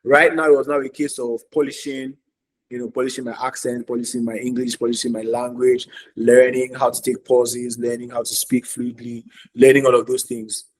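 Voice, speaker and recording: A man's voice: mid-range voice, level tone, normal speed, low-quality recording. A man, earnestly telling his story as a podcast guest, repeating phrases for emphasis. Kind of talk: casual podcast interview.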